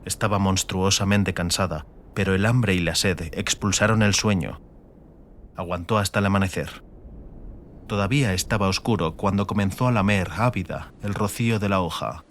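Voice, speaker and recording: Low-voiced man narrating an audiobook. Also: fairly even intonation, moderate pace. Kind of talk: scripted dramatic narration. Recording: faint ambient noise behind.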